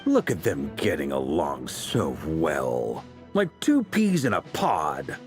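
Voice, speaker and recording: A man voicing a polite, good-natured, earnest young gentleman in a video game, expressive in delivery. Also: very deep voice, takes it slowly, faint music behind, exaggerated voice. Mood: bemused.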